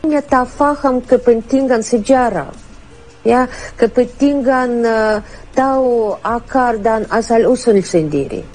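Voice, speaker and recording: Female academic, measured, mellow voice, hesitant fillers. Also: on the high side. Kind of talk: interview answer.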